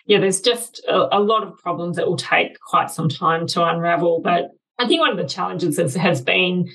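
A woman's voice: fairly low pitch, normal speed, gravelly, fairly bright tone, low-quality recording. A woman with an Australian accent giving conversational expert commentary as an interview guest, explaining things in an informal way.

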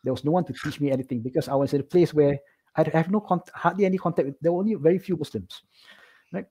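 A middle-aged Singaporean man speaks very quickly in a soft-edged, mellow voice with some huskiness. He tells his own stories in a casual, animated way, like a guest in conversation. He keeps checking in with the listener as he goes. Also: on the high side, slightly rough. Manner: animated, checks in with "right?" often. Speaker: interview guest. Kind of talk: casual personal storytelling.

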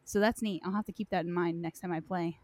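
A woman's voice: lowish voice, steady tone, fast, darkish tone. A woman hosting a casual gaming chat, talking informally and anecdotally with lots of "like". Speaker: podcast host, gamer.

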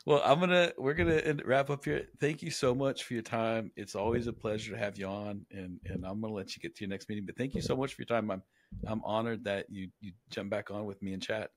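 A man with a fairly low voice hosts a casual interview. He talks haltingly, doubling back on words mid-thought. The sound is dry, with little room in it. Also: dry recording. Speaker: podcast host.

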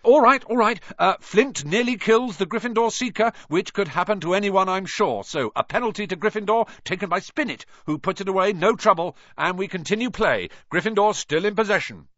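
A cheeky teenage match commentator, as a male narrator puts him on, pitched well up and jumping all over the place. He calls the play in quick, teasing bursts, on a slightly muffled, dry recording. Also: lively intonation, a bit bright, no room sound.

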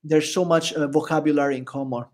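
A man's voice: a little high, fast. A man from Italy speaking English with an Italian accent, chatting as a podcast guest and laughing often. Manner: chatty, animated storyteller who laughs often. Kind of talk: casual interview chat.

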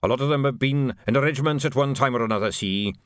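A man voicing a folksy, deferential ageing army sergeant with rough grammar in an audiobook. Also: mid-range voice, fast talker, fairly bright tone, no echo, studio quality, close to an everyday voice. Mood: respectful, doubtful. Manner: folksy, ungrammatical, barks at recruits. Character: deferential ageing sergeant, cheerful old soldier drilling volunteers. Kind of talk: audiobook character voice.

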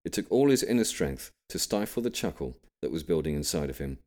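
Very deep-voiced, animated British male storyteller. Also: fairly quick. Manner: expressive storytelling. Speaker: audiobook reader. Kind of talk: scripted comic narration.

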